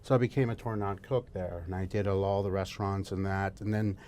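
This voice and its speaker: A man's voice: low voice, fairly even intonation, fairly quick, a little mellow. A middle-aged man from El Salvador, a guest chef talking casually in an interview, chatty and prone to restarting his sentences. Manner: chatty, frequent restarts and fillers.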